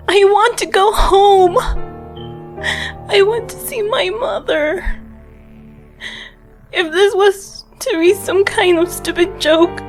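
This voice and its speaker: A woman acts out a distressed young woman, homesick and plaintive, in an audio drama. Her voice is extremely high and very slow.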